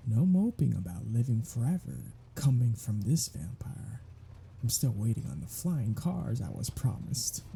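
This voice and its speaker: A performer voicing a cocky, sardonic, teasing vampire in an ASMR roleplay, with a breathy, husky voice and a drawling, sarcastic, playful way of talking. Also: mid-range voice, warm tone, slight background noise, heavily acted. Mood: smug, teasing. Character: cocky, sardonic, teasing, foul-mouthed vampire riding shotgun. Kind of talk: ASMR roleplay audio drama, one-sided conversation.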